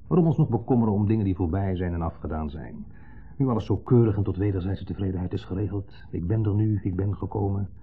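An actor playing a wistful, brooding, middle-aged ex-husband in a radio play, speaking in wordy, hesitant, formally polite sentences with a pointed undertone. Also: male voice, deep voice, husky. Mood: evasive. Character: wistful, brooding ex-husband, a long-winded dinner guest. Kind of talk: 1970s Dutch radio play acting. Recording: muffled, phone-like 1970s radio recording.

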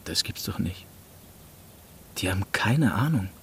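Guy narrating as a jaded teen, dry sarcastic short lines, really slow, faint noise in back. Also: deep voice, expressive. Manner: sardonic, terse. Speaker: audiobook narrator. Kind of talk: scripted first-person narration as a jaded teenager.

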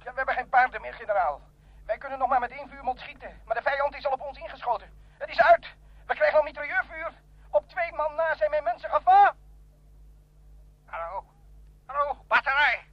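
Army officer, urgent, strained, desperate, field telephone, dry sound, old radio drama. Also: male voice, very high voice, no echo, highs cut off.

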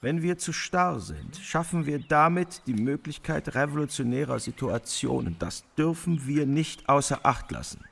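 An actor playing a stern, cautioning 1940s parliamentary delegate in formal debate, his voice gravelly and husky. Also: a little high, animated, moderate pace, hardly any pauses, fairly bright tone, full character voice. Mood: firm, warning.

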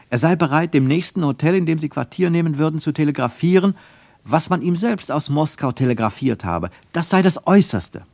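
A man's voice: medium pitch, creaky, darkish tone, no echo. A man narrating a literary radio play in a dramatic, emphatic manner.

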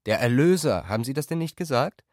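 A man's voice: mid-range voice, expressive, brisk, a little mellow, dry recording, clean studio sound, pitched above the performer's own voice, lightly acted. A man playing an agitated, exasperated husband in a literary radio drama, insistent and emphatic. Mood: vehement, agitated.

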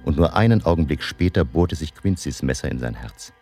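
An actor playing a grave older vampire hunter who narrates a radio drama in a solemn, intense, deliberate, gravelly way. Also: male voice, a bass voice, lively intonation, a little mellow. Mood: solemn narrating. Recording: faint music behind the voice.

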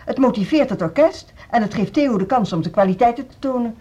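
An actress playing a brisk, controlled music school director, formal and firm, in a naturalistic radio drama. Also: female voice, a little high, animated, dark tone. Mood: businesslike. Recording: dull, faintly noisy radio recording.

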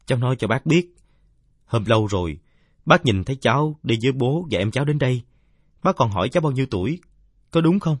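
A man's voice: medium pitch, lively intonation, a little fast, pauses often, husky, no room sound, clean studio sound, pitched above the performer's own voice. A man voicing a polite but persistent young boy who argues respectfully in a story. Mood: insistent.

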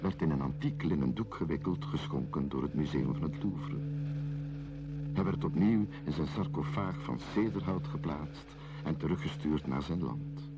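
A very deep, solemn storyteller. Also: male voice, very noisy. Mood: solemn narrating. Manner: measured, solemn, deliberate. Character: solemn, contemplative male storyteller of ancient kings and mysteries. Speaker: narrator in a radio play. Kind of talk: scripted radio play narration, solemn and literary.

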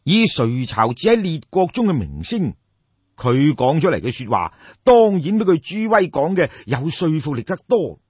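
Husky, animated Cantonese storyteller man. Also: on the high side, moderate pace. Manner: colloquial, exclamatory, theatrical. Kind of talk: dramatic traditional Cantonese audiobook storytelling. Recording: dull, roomy lo-fi recording.

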